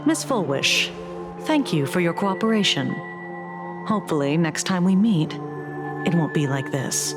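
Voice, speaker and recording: Polite young officer in a game, lightish high voice, animated, music behind. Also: male voice, measured pace, pauses often. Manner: courteous. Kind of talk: video game character dialogue.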